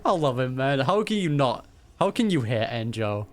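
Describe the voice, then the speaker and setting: A man's voice: mid-range voice, very animated, normal speed. A man giving casual, amused reactions as a gaming streamer, with plenty of slang. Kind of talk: casual game reaction commentary.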